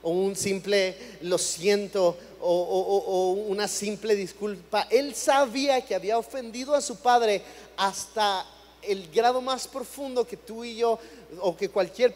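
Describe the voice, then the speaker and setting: A man's voice: sits high, a little mellow. A young man from Chihuahua, Mexico, preaching a sermon in a church in a conversational way, often repeating words as he starts a phrase. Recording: long hall reverb.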